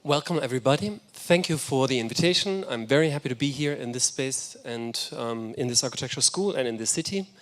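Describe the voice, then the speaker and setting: A man's voice: mid-range voice, expressive, fast talker, few pauses. A man whose first language is German, giving an enthusiastic, reflective architecture lecture. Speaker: guest lecturer.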